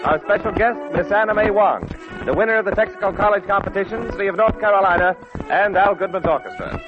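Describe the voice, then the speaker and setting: A man's voice: high-pitched, fast, over music. A man working as announcer and comic sidekick on a 1940s radio show. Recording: muffled 1940s radio recording.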